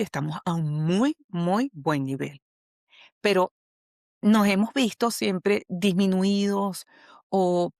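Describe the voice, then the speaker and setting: A woman's voice: fairly low pitch, darkish tone, no echo. Venezuelan woman explaining patiently, dry sound. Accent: Venezuelan Spanish. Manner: patient teacherly explaining, checks in with frequent "ok?". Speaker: HR specialist and coach, guest. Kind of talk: explanatory podcast conversation.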